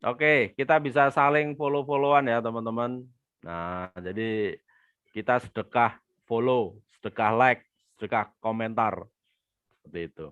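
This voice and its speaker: Chatty Indonesian business coach, smooth voice. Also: male voice, mid-range voice, normal speed, long pauses, a bit bright, no room sound, lo-fi sound. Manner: chatty, addresses viewers as friends, reads comments aloud. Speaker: business trainer hosting a live webinar. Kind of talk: live-stream teaching with viewer shout-outs.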